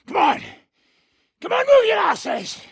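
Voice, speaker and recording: Gravelly, swaggering mercenary gang leader. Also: male voice, very high-pitched, sing-song, slow, fairly bright tone, no echo, studio quality, exaggerated voice. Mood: furious shouting. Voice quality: raspy, gravelly. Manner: brash, sardonic, commanding, swearing freely. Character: foul-mouthed, swaggering, gruff male mercenary gang leader. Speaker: video game character voice actor. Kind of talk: game dialogue, coarse medieval banter and battle shouts.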